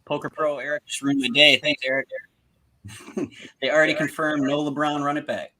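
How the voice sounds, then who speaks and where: Bright enthusiastic young male streamer. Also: on the high side, flat delivery, a little hiss or hum, rough recording. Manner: enthusiastic, quick to praise. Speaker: stream host. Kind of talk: casual live stream chat.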